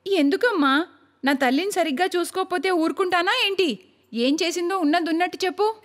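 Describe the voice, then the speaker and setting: A woman voicing a demanding, sharp-tongued, scheming young married daughter in a cartoon moral story. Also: extremely high pitch, fairly quick. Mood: indignant.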